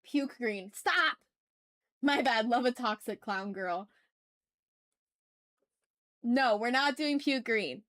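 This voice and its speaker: A woman's voice: fairly high pitch, expressive, takes it slowly, long pauses, a bit bright, no echo, clean studio sound. A woman chatting casually and playfully with her viewers as she hosts a live art stream. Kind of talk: casual livestream chat while drawing.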